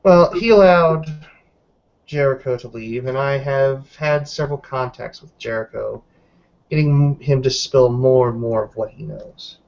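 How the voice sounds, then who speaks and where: A suspicious male werewolf giving reports in a tabletop game, acted by a man. He talks slowly in a mid-pitched voice, stopping to correct himself. The sound is dull and lo-fi. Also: creaky, darkish tone. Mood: measured, explanatory. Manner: deliberate, hesitant, self-correcting. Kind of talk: improvised tabletop roleplay. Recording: dull lo-fi voice recording.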